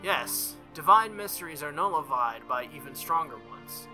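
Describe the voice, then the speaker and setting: A man voicing a formal, proud young woman knight with a calm, measured manner in a visual novel. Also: fairly high pitch, soft background music. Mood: solemn.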